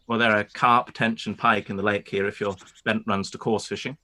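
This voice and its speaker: A man playing a reserved, proud young gentleman landowner in a radio play, speaking formally with brief hesitations. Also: fairly low pitch, level tone, brisk. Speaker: actor in a live-read radio play. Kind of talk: period radio play acting.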